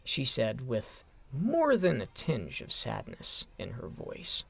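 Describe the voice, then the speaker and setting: A man narrating a scripted story in a dramatic, expressive storytelling manner. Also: medium pitch, slow pace, creaky, slightly rough.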